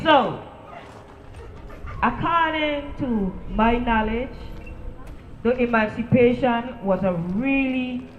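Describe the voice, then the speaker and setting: A woman giving an informal, conversational address to a gathering, halting yet emphatic. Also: sits high. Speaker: speaker at a commemoration gathering. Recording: dull echoey room, faint noise.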